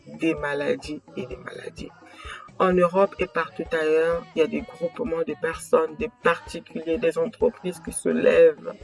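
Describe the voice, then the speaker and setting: A middle-aged woman from Congo, addressing the camera in an earnest, emphatic way and repeating words as she searches for them. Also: fairly low pitch. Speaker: association founder presenting her channel. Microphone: camera mic, speaking straight to camera.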